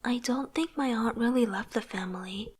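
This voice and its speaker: A young woman playing an earnest, struggling artist in a motion comic, narrating introspectively in a soft, breathy voice. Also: a little high, moderate pace. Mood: reflective, weary. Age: young adult. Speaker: narrating lead character. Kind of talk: scripted first-person narration.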